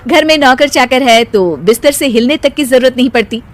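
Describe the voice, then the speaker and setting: A woman's voice: sits high, fairly quick. Woman narrating a cartoon moral story. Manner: clear, even storytelling that ends in a spoken moral.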